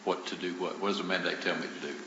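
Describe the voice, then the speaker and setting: A man with a very low voice presides as judge, cutting in with short, pointed questions. The recording is roomy and dull, with clear background hiss. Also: level tone, very fast, bright, forceful tone. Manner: terse, questioning, repeats words. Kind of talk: presiding over a hearing. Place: courtroom.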